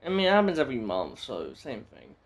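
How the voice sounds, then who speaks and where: A man's voice: medium pitch, wildly swooping pitch, fast talker, vocal fry. A man giving casual, off-the-cuff video game commentary as a streamer, wry and rambling.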